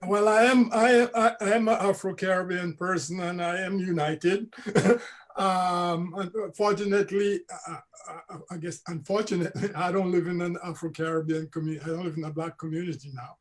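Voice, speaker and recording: A man speaks in a somewhat high, monotone voice, halting often with fillers. He talks on a video call panel. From United States. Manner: hesitant, many fillers, flat delivery.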